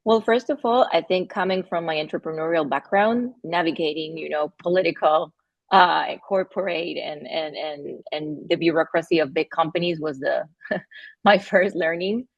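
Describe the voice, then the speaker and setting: Argentinian woman, low creaky voice. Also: dry recording, lo-fi sound. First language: Spanish. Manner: halting, with many fillers and restarts, laughs between phrases. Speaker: guest, company founder. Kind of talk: casual interview talk.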